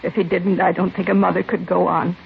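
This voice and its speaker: An American woman acting the part of a grieving, resigned mother in her late forties, subdued and halting, in a 1950s radio drama. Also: lowish voice, flat delivery, creaky. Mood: sorrowful, resigned. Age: middle-aged. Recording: dull, noisy vintage radio recording.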